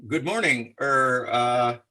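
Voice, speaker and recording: An actor playing an affable, oblivious bachelor jockey who drops in as the neighbor in an old-time radio comedy. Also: male voice, mid-range voice, slow, sharp tone. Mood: breezy, affable.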